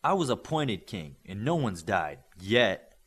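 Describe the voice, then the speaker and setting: A man's voice: fairly low pitch, big pitch swings, a little slow, a bit bright, slightly rough, full character voice. A man voicing a bossy young male superhero leader in an audiobook, terse and dry.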